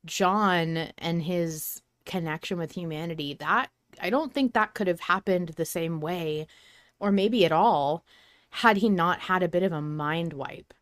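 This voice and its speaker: A woman's voice: lowish voice, unhurried, no room sound, studio quality. A woman co-hosting a fan podcast, talking casually in a reflective, enthusiastic way. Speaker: podcast host.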